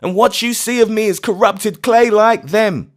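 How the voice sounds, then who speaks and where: A man voicing a grief-maddened old astronomer, who rages in bitter, anguished outcries, pitched far above his own voice. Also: very animated, measured pace. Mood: shrieking.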